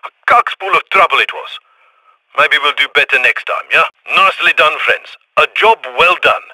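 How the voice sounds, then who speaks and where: A chirpy heist handler. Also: male voice, a little high, expressive, normal speed, bright, forceful tone, no room sound, poor audio. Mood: upbeat. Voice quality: chirpy, slangy, ends lines with "yeah?". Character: cheerful adult male heist handler on radio. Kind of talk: video game voice lines.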